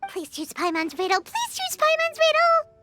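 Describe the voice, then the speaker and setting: A woman voicing a small, excitable, childlike fairy companion in an animated video game, talking in exclamations. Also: very high voice, moderate pace, over loud music. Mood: pleading, unsure. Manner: speaks of herself in third person, exclamatory.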